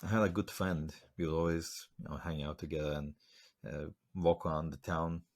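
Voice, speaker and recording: A man's voice: rumbling low, fairly even intonation, normal speed. A man from Slovakia, hosting a podcast and telling a story in loose, rambling casual talk full of fillers. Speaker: podcast host. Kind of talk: casual interview chat.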